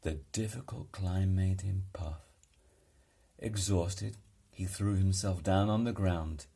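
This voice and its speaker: A man reading a children's story aloud in an expressive, dramatic and deliberate way. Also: low voice, gravelly, breathy edge. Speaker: storybook reader.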